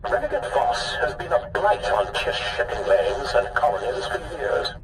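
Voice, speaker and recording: Roomy, distant, haughty alien aristocrat. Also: male voice, medium pitch, wildly swooping pitch, normal speed, gravelly, slight background noise. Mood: cold, grave. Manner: formal, clipped, imperious. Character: haughty, formal male alien aristocrat, coldly demanding. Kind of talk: video game cutscene acting.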